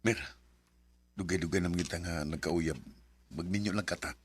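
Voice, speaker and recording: A man plays a devoted young village sweetheart with a notably deep voice. He speaks in a Cebuano Filipino accent, earnest and imploring, in the manner of a romantic radio drama. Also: fairly even intonation, moderate pace, slight background noise, close to an everyday voice. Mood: tender, eager. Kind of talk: Bisaya radio drama acting.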